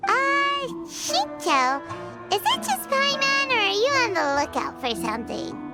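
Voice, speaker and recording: Tiny fairy sidekick, extremely high childlike voice, very animated, anime game, loud music behind. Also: female voice, a little slow, full character voice. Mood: pouty, suspicious. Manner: speaks of self in third person, chirpy. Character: Paimon, tiny excitable childlike fairy companion, female.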